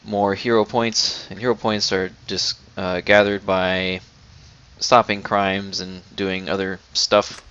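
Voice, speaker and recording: Casting: young male gaming host, lowish forceful voice, informal commentary, dull recording with game music. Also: little pitch movement, takes it slowly, clear, resonant tone. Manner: informal, off-the-cuff. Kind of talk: casual let's play commentary. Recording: muffled mic over game music.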